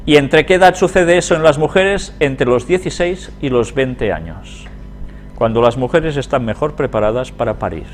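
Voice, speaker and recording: A man's voice: medium pitch, animated, normal speed, a little hiss or hum. A man with an accent from Spain giving a religious lecture as a teacher, reading aloud and commenting conversationally, often putting questions to his listeners. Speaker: Bible prophecy teacher.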